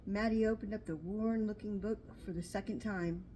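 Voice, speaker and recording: A woman's voice: medium pitch, steady tone, normal speed, mellow, a little hiss or hum, low-quality recording. An older woman, a grandmother reading a storybook aloud to a child in a homely, chatty way. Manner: homely, chatty, pointing things out.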